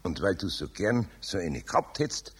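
An actor playing a kindly, patient older master carpenter with a Bavarian accent and a husky, gravelly voice in a children's radio play. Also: male voice, sits low, sing-song, normal speed, heavily acted. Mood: warm, gentle.

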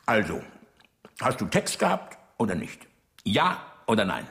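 A man's voice: lowish voice, wildly swooping pitch, measured pace, creaky, a bit bright, heavily acted. An actor playing an older, menacing dictator in a radio drama, mocking and commanding as he interrogates. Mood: probing, threatening.

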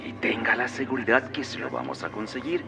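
A man's voice: mid-range voice, brisk, music in the background, close to an everyday voice. A man acting a businesslike arms buyer, formal and persuasive, in a radio drama. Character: businesslike arms buyer negotiating with a revolutionary general.

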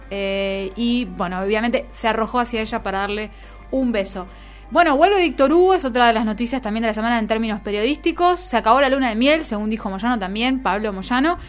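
A woman with an Argentine accent hosts a radio programme. Her voice sits fairly high and she talks informally, as if among colleagues. Soft music plays behind her. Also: lively intonation, fast talker. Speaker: radio show host. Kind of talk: casual radio chat about the week's news. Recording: music bed under the voice.

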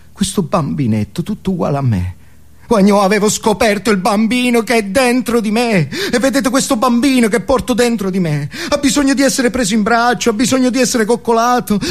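An actor as a manic, high-pitched motivational guru. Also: male voice. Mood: fervent. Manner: evangelical, bombastic, emphatic, dramatic pauses. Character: manic male motivational guru preaching happiness to crowd. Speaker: stage actor. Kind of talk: theatrical solo monologue.

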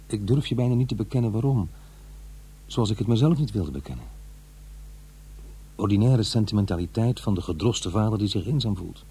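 A man's voice: lowish voice, dark tone, husky, heavily acted. An actor playing a regretful, lonely middle-aged divorced father in a radio play, speaking hesitantly and searching for words. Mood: confessional, rueful. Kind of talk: Dutch radio drama acting. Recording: noisy, humming radio play recording.

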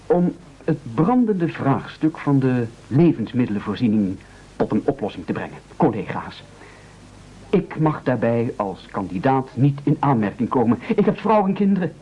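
A man's voice: mid-range voice, very animated, measured pace, breathy edge. An actor playing a timid, self-pitying family man in a satirical radio play, pleading in a hesitant, oratorical way. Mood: nervous, formal, then pleading, sentimental. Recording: dull old radio recording.

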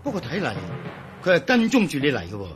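An actor playing a jittery, blustering police lieutenant colonel in a radio drama, with a Hong Kong Cantonese accent. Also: male voice, fairly high pitch, wildly swooping pitch, quick pace, over music. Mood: puzzled, uneasy.

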